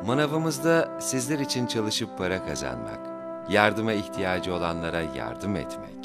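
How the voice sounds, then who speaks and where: A man playing a gentle, patient father in a children's cartoon, explaining things reassuringly. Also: sits low, big pitch swings, moderate pace. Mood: reassuring, earnest. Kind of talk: animated children's cartoon dialogue. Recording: music bed under the voice.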